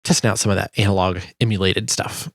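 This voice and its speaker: A man with a fairly low voice explains his work in an informal tutorial. He talks hesitantly, thinking aloud, and the recording is close and dry. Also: fairly even intonation, fast talker, no echo. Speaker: sound designer presenting a tutorial. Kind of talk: casual screen tutorial narration.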